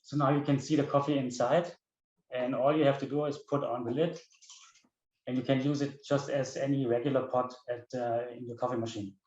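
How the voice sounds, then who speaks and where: A man from Germany with a German accent, giving a rehearsed, earnest product pitch and demonstrating a device. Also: fairly even intonation, fairly quick, darkish tone. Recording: roomy, dull lo-fi sound.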